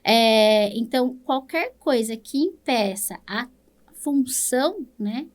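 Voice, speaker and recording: A woman's voice: on the high side, animated, slow pace. A woman with a Brazilian Portuguese accent, a speech therapist explaining things patiently in an interview, often checking in with a tag question. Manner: explains patiently, ends phrases with "né?".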